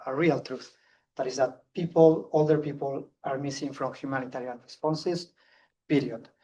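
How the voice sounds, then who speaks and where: Spanish-accented man speaking fast. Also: on the high side, darkish tone, recorded from a distance. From Spain. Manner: fast, earnest, with restarts. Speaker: conference speaker. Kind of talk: public talk.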